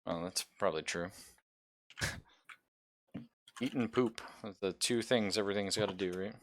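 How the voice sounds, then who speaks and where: A man's voice: low-pitched, moderate pace, a little hiss or hum. A man running a tabletop roleplaying game as game master, casual and informal, thinking aloud and swearing freely.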